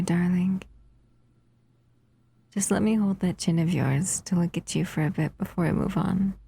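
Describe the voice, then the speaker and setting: Breathy, unhurried doting monster played by a woman, soft and whispery; low-set female voice, possessive and sweet. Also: exaggerated voice. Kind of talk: audio roleplay.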